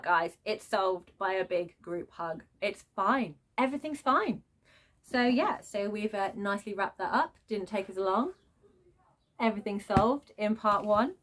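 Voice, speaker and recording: A woman giving a casual, unscripted book rant in an exasperated, sarcastic and emphatic manner, with a slightly low voice. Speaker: book reviewer.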